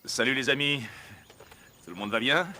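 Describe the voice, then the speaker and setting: A man's voice: medium pitch, moderate pace, bright, forceful tone, soft background music. A man playing a television journalist who talks in a chummy, persuasive way.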